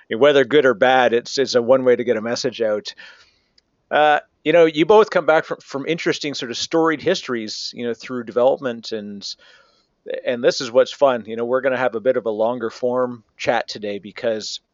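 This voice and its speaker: A man's voice: medium pitch, no room sound. A man hosting a podcast, chatty and leaning on filler phrases as he introduces his guests and asks questions. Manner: chatty, leans on "you know". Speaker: podcast host. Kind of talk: casual podcast chat. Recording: dry, muffled lo-fi audio.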